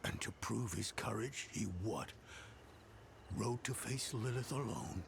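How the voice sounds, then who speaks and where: Earnest middle-aged male leader for a game cutscene; hesitant, gravelly, lively intonation, unhurried. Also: lowish voice, background noise. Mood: worried. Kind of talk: dramatic video game cutscene acting.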